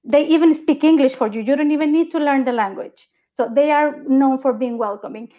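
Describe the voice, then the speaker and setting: A woman's voice: high-pitched, brisk, warm tone, muffled recording. A woman from Mexico speaking earnestly on a panel, often repeating words and adding "right?". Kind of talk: panel discussion.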